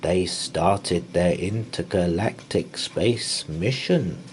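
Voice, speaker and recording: A man reading a children's bedtime story aloud in rhyme, with an expressive, theatrical delivery. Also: low-pitched, slow pace, background noise.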